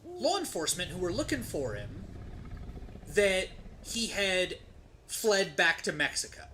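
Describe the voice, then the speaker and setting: A streamer telling a story casually and comedically while gaming, with profanity, dramatic pauses and rhetorical tags. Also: medium pitch, very animated, sharp tone, a little hiss or hum. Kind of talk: casual comedic storytelling during game play.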